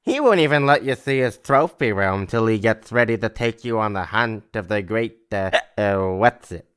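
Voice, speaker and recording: An actor playing a boozy, chummy, boastful socialite in an old-movie style audio drama, rambling and slangy. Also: male voice, lowish voice, normal speed, fairly bright tone, clear, resonant tone, natural voice.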